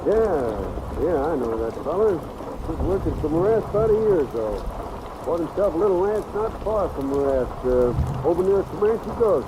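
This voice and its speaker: Rain noise sits over a vintage radio western. A folksy small-town sheriff , played by a man, talks in a highish, lively rural American voice, chatty and obliging. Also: moderate pace, close to an everyday voice. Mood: helpful, chatty. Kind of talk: 1940s-50s radio drama character acting.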